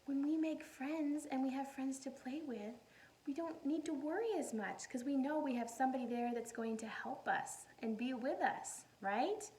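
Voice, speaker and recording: A woman teacher reading a picture book aloud to young children, animated and encouraging, often putting questions to her listeners. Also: high-pitched, rough recording. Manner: encouraging, animated, asks questions and pauses for answers.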